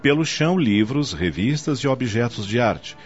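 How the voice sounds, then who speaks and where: A man's voice: medium pitch, lively intonation, normal speed. A man narrating a radio soap in a dramatic, scripted style. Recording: dull lo-fi audio, music underneath.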